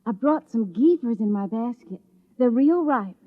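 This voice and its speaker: A woman's voice: on the high side, expressive, a little slow. An actress playing a cheery, encouraging village woman in an old radio drama, eager and folksy. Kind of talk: mid-century radio drama acting. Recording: tinny, band-limited vintage radio.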